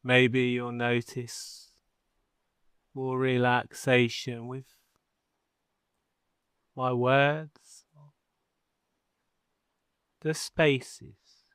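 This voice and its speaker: A man guiding a sleep hypnosis session in a soothing, measured manner with long pauses between phrases. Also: mid-range voice, level tone, fairly bright tone, clean tone, dry recording. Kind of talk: guided sleep hypnosis narration.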